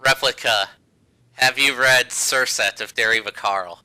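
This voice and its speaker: A man's voice: mid-range voice, measured pace, sharp tone, smooth, poor audio. A man chatting casually as a podcast co-host, reacting with short comments.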